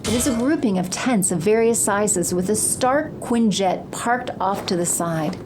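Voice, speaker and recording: A woman's voice: medium pitch, measured pace. A woman reading scripted narration for an audio drama in the present tense. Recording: music bed behind the voice.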